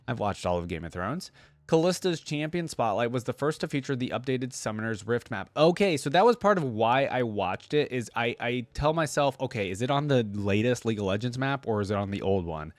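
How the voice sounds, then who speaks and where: A man gives casual reaction commentary at an ordinary male pitch. The recording is dry and close, with hardly any room sound. He talks informally, thinking aloud as he shares opinions or reads along. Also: no room sound. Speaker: reaction channel host.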